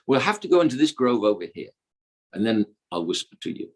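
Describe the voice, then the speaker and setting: Man playing young trickster posing as a girl, playful, coy, mock-amazed, lively pitch, husky, storytelling. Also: brisk. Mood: coy, secretive. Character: playful divine young trickster imitating his beloved's female voice.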